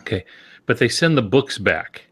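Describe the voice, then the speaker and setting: A man's voice: medium pitch, big pitch swings, moderate pace, a bit bright, slightly rough. A man in a casual discussion, explaining step by step and posing rhetorical questions as he goes. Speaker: podcast panelist.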